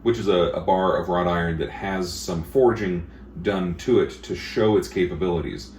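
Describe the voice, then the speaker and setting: A man who teaches blacksmithing, talking in a casual interview with a booming, emphatic way of explaining things at length. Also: normal speed, darkish tone. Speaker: blacksmith instructor. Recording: echoey room with faint noise.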